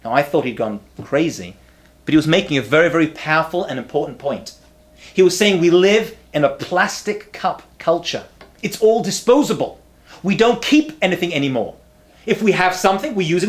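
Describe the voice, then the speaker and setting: This is a man in his thirties giving an animated rabbinic lecture straight to camera. His pitch leaps and falls with real liveliness as he drives home repeated punchlines. He slips in humorous asides, and he talks into a close lapel mic in a quiet study lined with books. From not from America.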